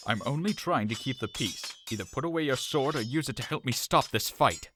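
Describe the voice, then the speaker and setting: A man voices an earnest young peacemaker in a radio play. His pitch rises and falls urgently. Clear noise sits under the voice. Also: animated, brisk, close to an everyday voice. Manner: urgent, pleading. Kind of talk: audio drama acting.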